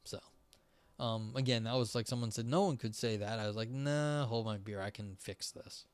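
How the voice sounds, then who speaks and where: A young man talking loosely to his stream chat, full of restarts, filler words and half-finished thoughts. His voice is smooth and clear but starting to wear hoarse. The sound is dry and close, with no room echo. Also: on the low side, moderate pace. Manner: rambling, many fillers and restarts. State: voice going hoarse. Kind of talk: casual live-stream chat.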